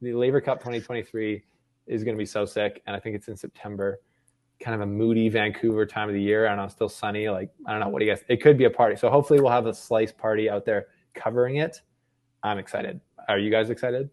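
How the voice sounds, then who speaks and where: A man's voice: lowish voice, fairly even intonation, fast, darkish tone. A man co-hosting a casual sports chat in an upbeat, slangy way. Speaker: sports show co-host.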